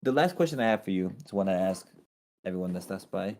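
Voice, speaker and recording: A man's voice: sits low, lively intonation, quick pace, darkish tone, a little hiss or hum. A man co-hosting a casual podcast, easygoing, often saying dude and bro. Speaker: co-host.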